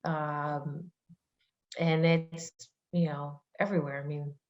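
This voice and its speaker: A woman's voice: deep voice, flat delivery, takes it slowly, dull audio. A woman guest in a casual video chat, talking in a plain, matter-of-fact way.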